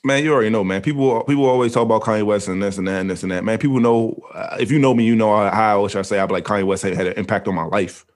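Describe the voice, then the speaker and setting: Casual young male podcast co-host, lowish voice, animated, rambling talk. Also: rapid-fire, hardly any pauses. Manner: animated, rambling, laughs often.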